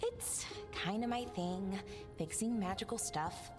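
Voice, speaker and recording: A woman's voice: medium pitch, slow pace, lightly acted. An actress playing an earnest, plainspoken young woman scout who fixes magical artifacts in a video game. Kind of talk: video game cutscene acting. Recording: game music behind.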